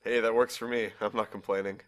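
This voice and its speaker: A man's voice: fairly low pitch, little pitch movement, fast, lightly acted. An actor playing a boyish, sheepish young man on the run in a naturalistic audio drama, casually protesting in a good-natured, defensive way. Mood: relaxed.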